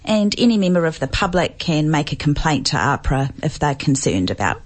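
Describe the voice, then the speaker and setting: A woman from New Zealand with a New Zealand accent, calmly explaining her research as a guest on a radio talk show. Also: deep voice, fairly quick, breathy edge. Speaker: health complaints researcher, studio guest. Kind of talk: radio interview.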